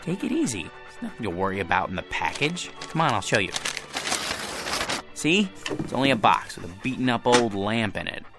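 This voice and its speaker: An actor playing a meek, self-deprecating, lonely office worker in a radio drama, speaking in a hesitant, halting way. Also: male voice, on the low side, sing-song. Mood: wry, gentle. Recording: music loud behind the voice.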